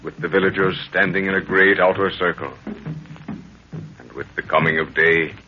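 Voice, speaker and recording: A man narrating a jungle adventure serial as its announcer, in a portentous, deliberate, theatrical 1930s radio style. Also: low voice, music in the background. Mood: solemn, ominous. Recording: muffled, noisy 1930s radio recording.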